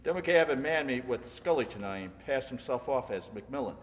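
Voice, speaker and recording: A man's voice: medium pitch, expressive, brisk, natural voice. Man playing a laconic narcotics inspector, echoey hall. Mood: knowing. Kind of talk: 1950s crime radio drama re-enactment. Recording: reverberant hall.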